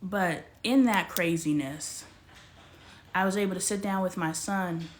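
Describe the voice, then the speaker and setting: Animated woman chatting live to viewers. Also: on the low side, a little slow. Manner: animated, slangy, talks straight to the chat. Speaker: livestream host. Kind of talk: casual livestream chat with viewers.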